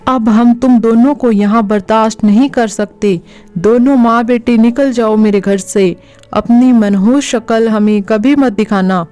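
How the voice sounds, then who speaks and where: A woman's voice: a little high, unhurried, dark tone, faint music behind, close to an everyday voice. A woman playing a cruel, scornful older mother-in-law in a cartoon, with a harsh, scolding manner. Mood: cruel, angry.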